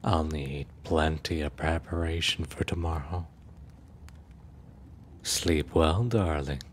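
An immortal prince , acted by a man, speaks in a profoundly deep, slow voice. He is formal and suave, teasing yet possessive and quietly dangerous. It is an ASMR roleplay with noticeable ambient noise behind him. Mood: calmly menacing.

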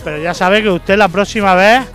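A man's voice: high voice, brisk, fairly bright tone, faint music behind. A man, a beach reporter doing playful mock-official interviews outdoors with a handheld mic. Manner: playful fake-official scolding. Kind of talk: mock-serious street interviews.

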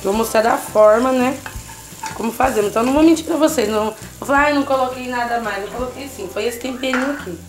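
A woman's voice: a little high, fast talker. A woman with a Brazilian Portuguese accent hosting a casual home cooking tutorial, chatting to her viewers as she cooks in her kitchen. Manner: chatty, constantly checking in with the viewer. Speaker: cooking channel host.

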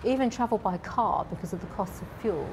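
Measured woman giving a formal interview. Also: mid-range voice. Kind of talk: interview answer. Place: indoors by an office window. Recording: faint background noise.

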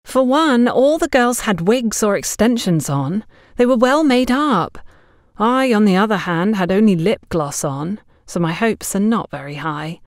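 A woman's voice: mid-range voice, expressive, measured pace, no room sound, clean studio sound, pitched above the performer's own voice, natural voice. A woman voicing a nervous, earnest young woman in an audio story. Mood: worried. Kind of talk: audio story character dialogue.

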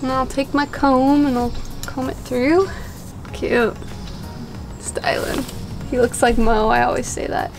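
A woman's voice: high-pitched, fairly even intonation, measured pace, gravelly, long pauses, over music. A young woman outdoors on a deck, chatting casually as she explains how she cuts hair at home, laughing at herself now and then. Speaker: vlogger cutting her husband's hair.

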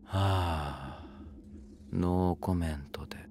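An actor playing an aloof, sarcastic young warlord in a voice drama, muttering in a curt, breathy way. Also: male voice, low voice, slow pace, darkish tone, a little hiss or hum. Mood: curt, evasive. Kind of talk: Japanese voice drama acting.

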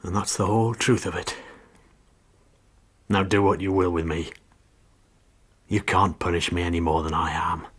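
An actor playing a guilt-ridden, jealous ship's steward making a bitter, haunted confession in a radio drama. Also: male voice, low-pitched, steady tone, normal speed, long pauses, fairly bright tone, breathy edge. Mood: broken, resigned.

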